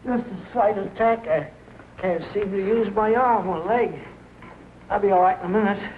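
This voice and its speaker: Man acting sick old small town doc, stoic, highish voice, tinny hissy 1940 movie. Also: normal speed, lightly acted. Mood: weak, reassuring. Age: over 50. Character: ailing, stoic elderly small-town doctor. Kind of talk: 1940s film drama acting. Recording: tinny, noisy 1940 film soundtrack.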